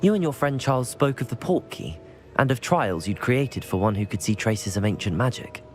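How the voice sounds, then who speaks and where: A man's voice: mid-range voice, expressive, normal speed, soft background music, lightly acted. A young man playing an earnest, eager student wizard in a video game, polite and articulate. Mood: polite, thoughtful. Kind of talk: cinematic video game acting.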